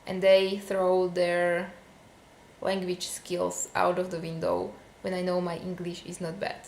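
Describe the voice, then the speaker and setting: A woman's voice: on the low side, monotone, unhurried, darkish tone, roomy sound, slight background noise, poor audio. A young woman from Slovakia with a Slovak accent, venting casually to camera in a vlog, with many fillers. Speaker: vlogger.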